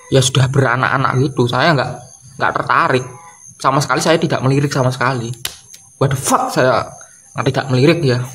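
A man, giving a casual comedic rant in a halting, repetitive, exasperated way. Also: medium pitch. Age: early 20s. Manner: exasperated, halting, repeats phrases, slips in English swearing. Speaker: video creator. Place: doorway at home by a wooden door. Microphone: camera or phone close in front.

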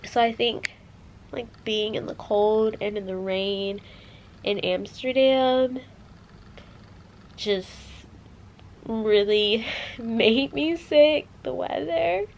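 A woman's voice: a little high, extremely slow, vocal fry, pauses often, a little hiss or hum. A woman in her twenties vlogging casually, slightly nasal from a cold, full of "like" fillers, filming at arm's length. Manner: chatty, many "like" fillers. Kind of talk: casual travel vlog. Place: hotel room and shop, indoors. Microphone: handheld camera at arm's length.

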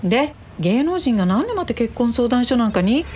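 A woman playing a frank, teasing matchmaker in a radio drama, speaking in a brisk, exclamatory, chiding way. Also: fairly high pitch, big pitch swings, darkish tone, slight background noise. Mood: incredulous. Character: frank, teasing female matchmaker, old friend of client.

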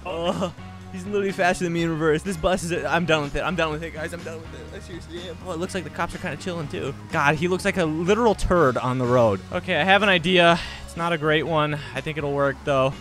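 A young man, chatting excitedly through a role-play game and repeating phrases in frantic bursts. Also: on the high side, quick pace. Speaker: gaming video creator playing a role-play game. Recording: music behind the voice.

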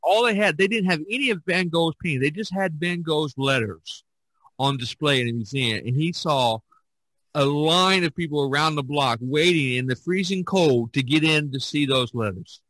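A man hosting a casual podcast conversation, talking in a halting way with many fillers and restarts. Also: on the high side, a bit bright, no room sound, low-quality recording. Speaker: podcast host.

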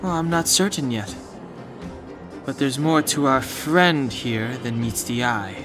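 A man voicing a serious, suspicious young companion in a video game, measured and reserved. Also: mid-range voice, expressive, over music. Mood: cool suspicion.

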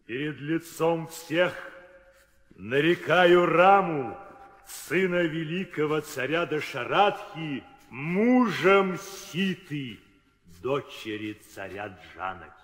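An actor playing a stately royal proclaimer, ceremonial and declamatory, in 1960s radio drama. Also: male voice, fairly high pitch, expressive, takes it slowly, fairly bright tone. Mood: solemn. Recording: old radio recording with long reverb.

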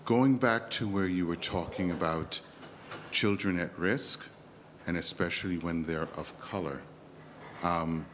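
A man's voice: low-pitched, a little slow, fairly bright tone, slight background noise. A man from the West Indies asking an audience question at a lecture, speaking emphatically. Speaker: audience member. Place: lecture theatre.

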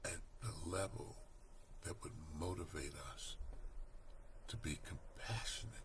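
An older man reading a Bible study lesson aloud from home, slow, deliberate and earnest, recorded on a webcam mic. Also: low-pitched, fairly even intonation, a little hiss or hum. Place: home room, indoors. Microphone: webcam at desk.

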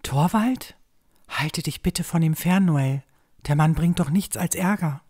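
A woman's voice: sits low, unhurried, darkish tone, breathy edge. A woman playing a caring, anxious, protective village mother in a fantasy audio drama. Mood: anxious care.

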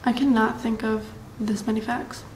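A teenage girl from Massachusetts chatting to her webcam in a bright room, rambling and bubbly with lots of fillers. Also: on the high side, little pitch movement, normal speed. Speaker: vlogger. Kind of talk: casual chat to camera. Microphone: built-in camera mic, close webcam framing. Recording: roomy echo, faint background hiss.

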